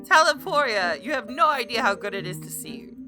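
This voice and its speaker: A woman's voice: on the high side, sing-song, brisk, over music. A woman voicing a beaming, unflappable, centuries-old boyfriend in a visual novel, gushing and coaxing. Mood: gushing, cheerful.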